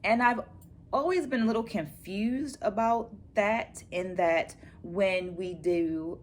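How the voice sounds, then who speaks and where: A middle-aged woman presenting to viewers as a dance educator, in a measured, careful, teacherly way. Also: medium pitch. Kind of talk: book-review vlog, presenting takeaways to viewers. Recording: clear background noise, room ambience.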